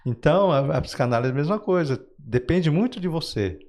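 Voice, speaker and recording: A man's voice: fairly high pitch, lively intonation, fairly quick, darkish tone. A man with a Brazilian Portuguese accent, speaking as a guest author in an interview, explaining ideas conversationally through everyday examples with frequent check-in tags.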